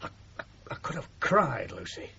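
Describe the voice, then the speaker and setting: An actor playing a sentimental, wounded middle-aged working-class father with a Northern English accent in a radio drama, speaking haltingly and emotionally. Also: mid-range voice, sing-song, gravelly, pushed and bright, husky, full character voice. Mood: wistful, troubled. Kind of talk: 1970s radio drama acting. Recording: muffled, noisy 1970s radio broadcast.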